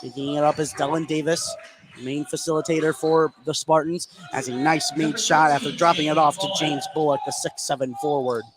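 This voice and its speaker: Higher-pitched man calling basketball play-by-play. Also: fairly even intonation, brisk, background noise. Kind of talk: live high school basketball radio commentary.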